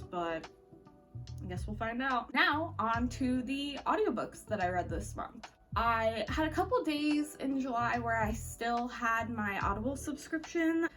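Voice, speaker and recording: A woman's voice: medium pitch, a bit bright. A woman giving a casual, chatty book review in an informal, slangy, opinionated way. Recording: slightly distant mic, faint music behind.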